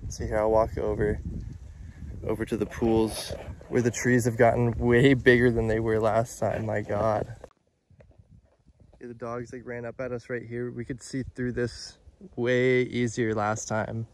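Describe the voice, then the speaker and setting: A young man in his twenties vlogging by phone at arm's length outdoors, talking in an excited, chatty way full of "dude" and "like". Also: medium pitch, unhurried, a little hiss or hum. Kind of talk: casual vlog narration. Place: outdoors at an abandoned waterpark. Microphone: phone held at arm's length.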